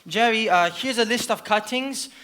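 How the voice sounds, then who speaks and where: Young actress doing an earnest bookish mystic woman in a stage play, really bright clear-cutting voice. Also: on the high side, moderate pace, close to an everyday voice. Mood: planning, brisk. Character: earnest, bookish occult mystic leading a spirit rescue. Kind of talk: school stage play acting. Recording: live school stage recording.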